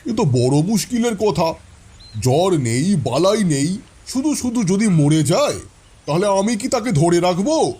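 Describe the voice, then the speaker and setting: A man playing a wealthy older father who is gruff, offhand and dismissive in an audio drama. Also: sits high, big pitch swings, a little slow, dark tone, full character voice. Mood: brusque.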